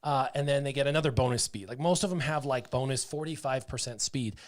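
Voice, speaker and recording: A man streaming a mobile game, breaking down his team's abilities in a loose, excitable way. He talks in a mid-range voice and leans on fillers as he thinks aloud. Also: medium pitch, fast talker. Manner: chatty, excitable, full of fillers like "um" and "like". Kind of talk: casual livestream commentary.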